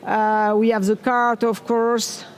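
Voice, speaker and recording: A middle-aged woman with a French accent presents a technical talk at a conference, upbeat and quizzing the crowd now and then. Her voice sits high. The sound carries the long reverb of a big hall. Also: level tone, slow, slight background noise. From France. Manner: enthusiastic, asks the audience questions. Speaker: conference speaker. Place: large conference hall on stage.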